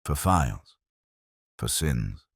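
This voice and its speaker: A man voicing a patient, dryly witty police inspector in a mystery story, understated and deliberate. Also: rumbling low, very animated, dry recording, clean studio sound, voice pushed down, heavily acted. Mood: grave, musing.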